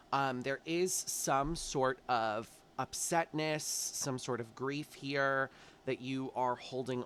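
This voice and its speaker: A man's voice: mid-range voice, slight background noise. A man giving a chatty tarot forecast, stuffy and congested from allergies, talking straight to his viewers. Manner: chatty, warm-hearted, talks straight to viewers. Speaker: tarot reader. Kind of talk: casual monthly tarot forecast.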